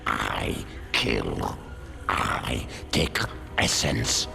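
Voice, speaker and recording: An actor playing a huge predatory alien beast in a video game, guttural and growling, speaking in slow, terse, broken phrases. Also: male voice, a bass voice, lively intonation, creaky, music in the background, heavily acted. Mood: menacing, blunt. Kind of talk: video game cinematic acting.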